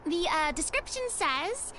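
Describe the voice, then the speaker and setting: A woman's voice: very high-pitched, sing-song, a little slow, bright, forceful tone, a little hiss or hum, heavily acted. An actress playing an earnest young woman in a video game, polite, careful and a little anxious, speaking in slightly formal phrases. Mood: curious. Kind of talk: video game character acting.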